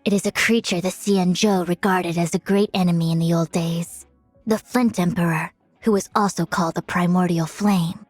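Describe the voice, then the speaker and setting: A woman's voice: on the low side, fairly even intonation, normal speed, darkish tone, no room sound, close to an everyday voice. A woman playing a proud young female diviner in a video game, speaking in composed, formal, lofty phrases. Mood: grave, instructive. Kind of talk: scripted game character voice lines. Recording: studio-dry voice over music. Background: music.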